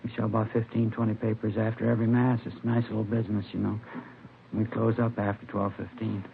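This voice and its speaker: American shopkeeper in his fifties, creaky voice, fairly level intonation, earnest, rambling, muffled old radio recording. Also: lowish voice, monotone, fast talker, a little hiss or hum. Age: 50s. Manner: plain-spoken, rambling. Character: mild, shaken middle-aged newsstand shopkeeper, earnest and talkative. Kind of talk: 1950s radio drama acting.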